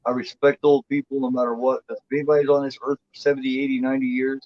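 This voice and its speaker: A man's voice: medium pitch, little pitch movement, fast, dull audio. A man in his forties chatting as co-host, blunt and profane, rambling with lots of restarts. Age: 40s. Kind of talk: casual chat.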